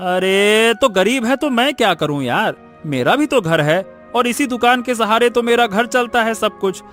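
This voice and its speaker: A man's voice: high-pitched, animated, a bit bright, faint music behind. A man playing a greedy, sarcastic, grumbling village shopkeeper in a cartoon. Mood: irritated complaining. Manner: sarcastic, complaining, drawn-out teasing.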